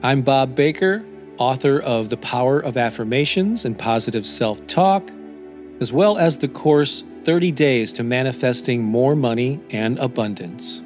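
Guy with a slightly high voice doing motivational affirmations real slow, soft new-age music behind him. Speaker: host reading self-help affirmations. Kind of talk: scripted motivational affirmations and podcast narration.

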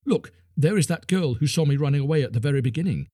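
A tiny, proud crab creature, put on by a man, pitched well up, pushy and boastful, with a husky grain. The sound is dry and close. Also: expressive, quick pace, no room sound. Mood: insistent. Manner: bright, insistent, boastful. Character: small proud male crab creature, bargaining.

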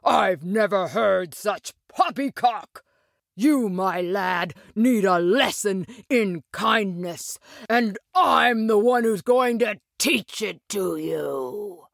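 A woman voicing a big, jolly green male giant in a storybook tale, gravelly, teasing and theatrical. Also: medium pitch, animated, dry recording, clean studio sound, pitched above the performer's own voice. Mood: indignant. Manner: slow, drawn-out, theatrical delivery.

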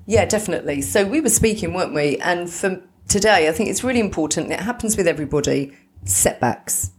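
Low-voiced mother podcaster. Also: expressive, fairly quick. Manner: warm-hearted, asks many questions, repeats herself. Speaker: podcast co-host, mother of the other host. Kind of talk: casual podcast chat.

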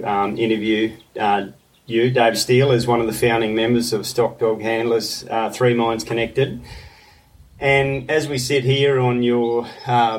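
A man interviewing a guest, hesitant and self-conscious, with many ums and uhs, on an outdoor back veranda. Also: medium pitch. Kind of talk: casual podcast interview. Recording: voice a bit far from the mic.

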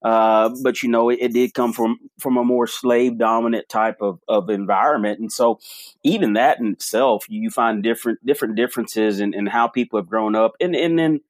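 A man talking as a podcast guest, telling stories in a relaxed, animated way with frequent restarts. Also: mid-range voice, normal speed, no echo. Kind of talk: casual storytelling interview.

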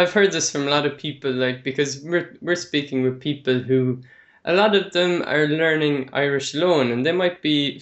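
A man's voice: fairly high pitch, highs cut off. A man hosting a podcast interview, asking questions in a friendly, chatty way. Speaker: podcast host.

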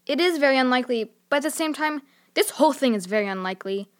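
A woman's voice: high voice, animated, fast. A female actor playing a sensible, curious young girl in a children's audio drama, earnest and frank in manner. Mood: reasoning.

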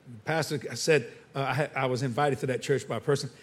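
A man's voice: mid-range voice, fairly even intonation, fast talker. A man preaching a sermon to a church congregation, firing rhetorical questions and calling for answers.

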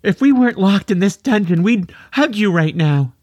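A man voicing a tearful, loving mother with a high, raspy voice in a children's audiobook. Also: animated, moderate pace, darkish tone, no echo, clean studio sound.

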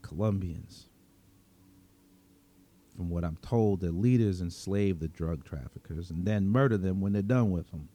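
A man narrating a dramatic story in the first person as a cynical, wry, menacing vigilante vampire, with sardonic, slangy delivery and dramatic pauses. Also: low voice, warm tone. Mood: weary, anticipating.

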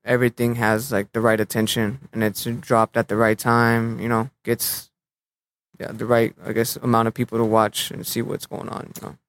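A young man of Mexican heritage, a rapper guest in a relaxed interview, talking in easy slang and letting his phrases fade out quietly. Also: mid-range voice, moderate pace. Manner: laid-back, slangy, trails off softly at phrase ends.

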